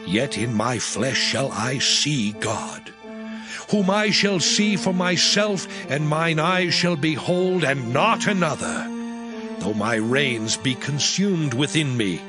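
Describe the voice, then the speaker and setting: An actor playing an anguished, suffering man who pleads his innocence in an impassioned, deliberate scripture drama. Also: on the high side. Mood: fervent declaring.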